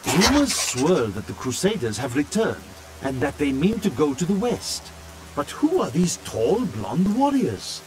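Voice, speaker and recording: An actor playing a learned scholar-chronicler, reading his journal as grave, intrigued, deliberate dramatic narration for a fantasy video game. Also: a little high, wildly swooping pitch, background noise. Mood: mysterious. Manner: theatrical, deliberate, storytelling delivery.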